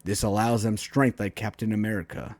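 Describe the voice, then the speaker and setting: A man reading a superhero story aloud in a dramatic, emphatic storytelling style with deliberate phrasing. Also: lowish voice, fairly even intonation, a little mellow, no room sound, studio quality. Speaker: author reading own story, writing teacher.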